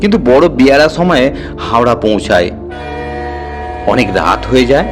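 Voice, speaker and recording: A man narrating a horror story in the first person with an expressive, dramatic storytelling delivery. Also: medium pitch, normal speed. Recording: music bed under the voice. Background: music.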